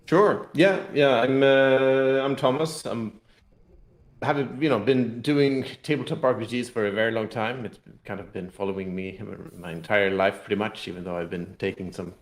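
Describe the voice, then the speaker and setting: A man with a Swedish accent answering interview questions casually, haltingly restating phrases as he explains. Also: medium pitch, fairly even intonation. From Sweden. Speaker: guest, game designer and company head. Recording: echoey room sound.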